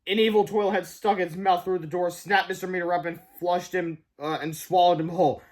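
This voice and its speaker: Male storybook reader, high voice, expressive children's read-aloud delivery with the odd stumble. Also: a little fast. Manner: expressive, stumbles over some words.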